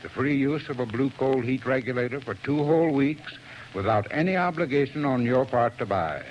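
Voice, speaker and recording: Earnest male pitchman, 1940s radio ad, dull lo-fi. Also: moderate pace, slight background noise. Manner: earnest, folksy, persuasive. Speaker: advertising spokesman. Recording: dull old radio broadcast.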